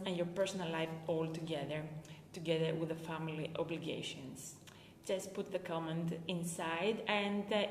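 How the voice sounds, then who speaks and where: A woman's voice: fairly low pitch, a little hiss or hum. Greek woman coaching on a livestream. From Greece. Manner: hesitant, many filler sounds. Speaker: performance coach. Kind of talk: live-stream talk to viewers. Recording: echoey room with long reverb.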